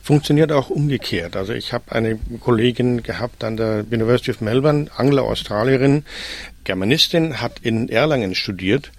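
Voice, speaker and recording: A man's voice: medium pitch, normal speed. A man on a panel telling an amused anecdote about a colleague. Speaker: panelist, academic. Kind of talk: casual anecdote.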